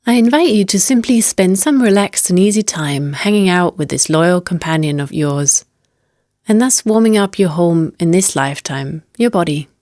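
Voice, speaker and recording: A woman guiding a meditation as a teacher, speaking in a gentle, unhurried and invitational manner. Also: lowish voice, animated, no room sound, clean studio sound. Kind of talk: guided meditation narration.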